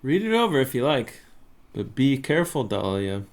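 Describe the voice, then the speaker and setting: A man's voice: medium pitch, big pitch swings, normal speed. A man playing an avuncular, somewhat cagey professor of occult studies in an audio drama, with a hammy, hesitant delivery. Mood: cagey, uneasy. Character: male occult studies professor, fond of corny jokes, cagey.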